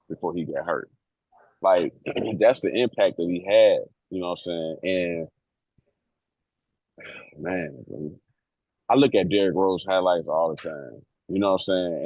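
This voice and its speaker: A man's voice: deep voice, a little mellow, breathy edge, no echo, poor audio. A man co-hosting a sports podcast in casual chat, laid-back and emphatic, with frequent swearing. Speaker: podcast co-host.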